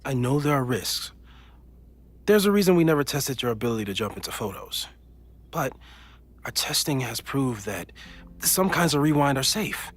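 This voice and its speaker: A man acting a geeky, reassuring physicist friend in a video game, with an American accent and a thoughtful, gently joking manner. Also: mid-range voice, lively intonation, moderate pace, pauses often, lightly acted. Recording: music under the voice. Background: game music.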